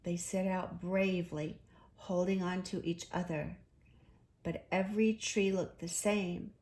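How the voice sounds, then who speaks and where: A woman narrating a children's storybook in an expressive, deliberate storytelling manner. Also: medium pitch. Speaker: storybook reader.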